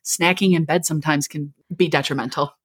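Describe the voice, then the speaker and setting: A woman's voice: sits low, steady tone, a little fast, slightly rough, no echo. A woman co-hosting an advice podcast in a conversational, informal way, given to joking asides. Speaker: podcast co-host.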